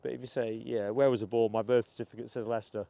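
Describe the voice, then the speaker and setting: A man's voice: medium pitch, level tone, fast talker, gravelly, warm tone. An older man from England hosting a live stream outdoors at an airport, chatting casually, joking and laughing easily, with a slight cough. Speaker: livestream host. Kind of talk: casual chat.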